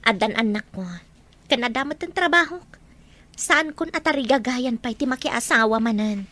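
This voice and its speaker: Woman playing burdened young mother, Ilocano accent, highish pitch, earnest, sorrowful, audio drama. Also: normal speed, sharp tone. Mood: wary, burdened. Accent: Ilocano Filipino. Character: wary, burdened mother raising children alone.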